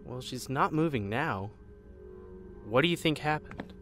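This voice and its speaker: An actor playing an uneasy, soft-hearted lab assistant and cameraman in an audio drama, hesitant and emotional. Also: mid-range voice, animated, a little slow, faint music behind. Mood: subdued.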